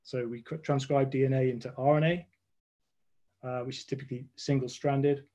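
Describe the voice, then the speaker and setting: A man in his forties explains science patiently over a video call from a room at home. His voice is mellow and sits at a middle pitch. He often restarts words as he works out what to say. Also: fairly even intonation, moderate pace. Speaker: guest scientist. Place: home room with red walls.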